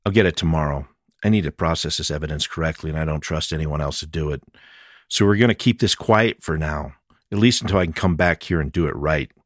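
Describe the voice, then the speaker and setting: A man's voice: rumbling low, fairly quick, no room sound, studio quality. A man voicing a tough but caring female police detective, matter-of-fact and dryly humorous, in a mystery audiobook. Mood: firm.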